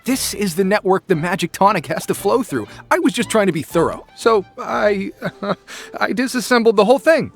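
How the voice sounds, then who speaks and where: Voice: medium pitch, animated, normal speed, close to an everyday voice. An actor voicing a scatterbrained, fussy and sheepish small forest animal in a video game, stammering defensively. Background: music.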